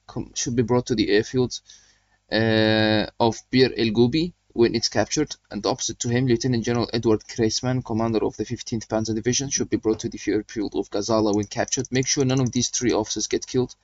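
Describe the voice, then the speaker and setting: A man's voice: mid-range voice, steady tone, brisk, darkish tone, no echo, highs cut off. A young man hosting a gaming channel, chatting casually as he plays and reads mission briefings aloud. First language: not German. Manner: chatty, rambling, reading game briefings aloud. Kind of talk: casual game commentary.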